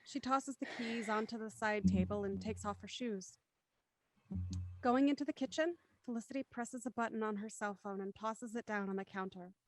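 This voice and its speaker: A woman reading scripted stage directions for an audio drama in a crisp, matter-of-fact way. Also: medium pitch, normal speed, no room sound, rough recording. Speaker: narrator.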